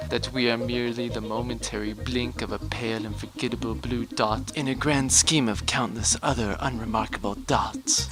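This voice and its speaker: A man's voice: lowish voice, close to an everyday voice, poor audio. Man playing smug self-help huckster over music. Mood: solemn. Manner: solemn salesman patter. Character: smug, blunt male self-help huckster in spoof advert. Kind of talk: parody infomercial pitch. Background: loud music.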